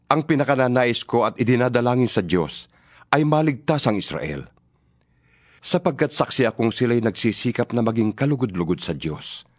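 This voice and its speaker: A man's voice: mid-range voice, no room sound, studio quality. A man reading scripture as narrator of a dramatized Bible, in an earnest, rhetorical preaching delivery.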